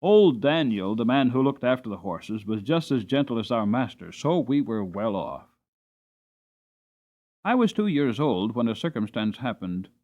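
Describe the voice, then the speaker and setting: Animated male storybook narrator. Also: mid-range voice, muffled recording. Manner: expressive, deliberate phrasing. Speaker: audiobook narrator. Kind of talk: dramatic scripted storybook narration.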